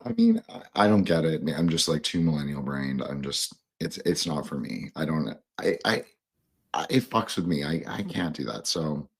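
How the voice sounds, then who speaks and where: Male podcast host, low and husky; loose, halting, digressive conversational delivery. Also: wildly swooping pitch. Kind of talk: casual, rambling discussion.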